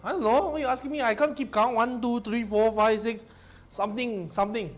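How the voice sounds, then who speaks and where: A man's voice: very high voice, a little fast, faint music behind. A man casting an esports tournament stream, joking and mock-complaining with his co-caster. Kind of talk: livestream casting banter.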